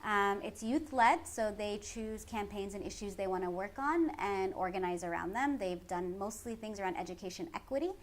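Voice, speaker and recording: An earnest woman with a Californian American accent explains organizing work in an interview. Her voice sits at a medium pitch and she lays points out in long, articulate lists. Faint ambient noise runs behind her. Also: expressive, moderate pace, hardly any pauses. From San Francisco Bay Area, United States. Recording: clearly noisy background ambience.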